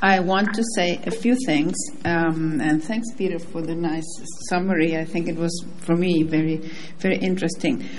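A woman's voice: on the low side, steady tone, normal speed, noisy background. A native Spanish-speaking woman with a Spanish accent, giving informal remarks as a panelist. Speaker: panelist, government delegate.

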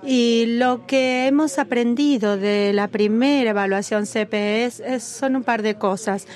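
A woman interpreting a formal meeting as it happens, in a measured, halting delivery that stops often to catch up with the speaker. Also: on the high side, darkish tone. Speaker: simultaneous interpreter at a policy meeting.

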